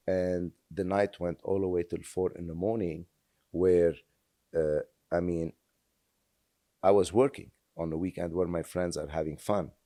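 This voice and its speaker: Man, 60s, very low voice, earnest, halting, dry studio sound. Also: fairly even intonation, warm tone, no echo. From Lebanon. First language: French. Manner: earnest, halting with many fillers. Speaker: guest. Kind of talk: reflective interview storytelling.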